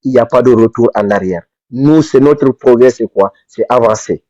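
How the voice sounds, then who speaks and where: A man's voice: mid-range voice, normal speed, dark tone, no room sound. A man from Senegal, giving an impassioned political speech with fervent, repetitive emphasis. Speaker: pan-African activist speaker. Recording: dull dry lo-fi recording.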